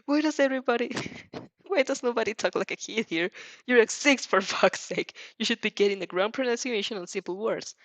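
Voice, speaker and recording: A woman's voice: fairly high pitch, brisk, fairly bright tone, no room sound. A woman reading fan fiction expressively, tripping over words and reacting casually as she goes. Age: adult. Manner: stumbles over words and restarts, giggly. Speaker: fan fiction reader and dubber.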